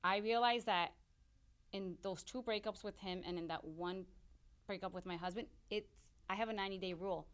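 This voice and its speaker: A woman's voice: medium pitch, normal speed, clean tone. A woman from Chicago with an American accent, talking casually and candidly as a podcast guest, with emphatic delivery. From Chicago, United States. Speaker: podcast guest, small business owner. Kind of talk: casual personal chat.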